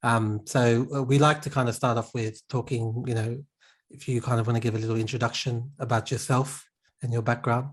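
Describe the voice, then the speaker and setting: A man's voice: mid-range voice, brisk. A man hosting a podcast, asking casual questions with lots of fillers. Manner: relaxed, filler-heavy questioning. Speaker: podcast host.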